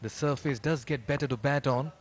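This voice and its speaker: A man's voice: medium pitch, a little fast. A man giving live cricket commentary mixed with casual chat. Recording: clear background noise, live ground ambience.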